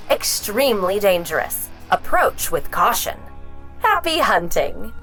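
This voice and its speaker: An actress playing a hunter's guide, reciting creature entries in a formal, measured announcer style for an audio drama. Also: female voice, mid-range voice, big pitch swings, fairly bright tone, soft background music, rough recording. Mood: formal, informative. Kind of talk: scripted encyclopedia narration, formal announcer delivery.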